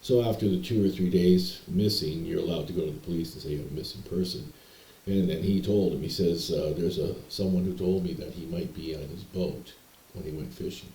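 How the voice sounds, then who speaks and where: A man with a very low, mellow voice tells a meandering personal story, stumbling over words and starting again. He sounds relaxed and unpolished. The room around him is echoey. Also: a little fast. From Canada. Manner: meandering, hesitant, repeats words and restarts. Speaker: vlogger telling personal stories.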